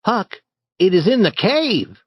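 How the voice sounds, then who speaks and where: A man voicing a bold, eager boy who leads an adventure, in a raised, boyish pitch with lively storybook acting. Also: slow pace, breathy edge, no room sound, full character voice. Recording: dry, slightly dull recording.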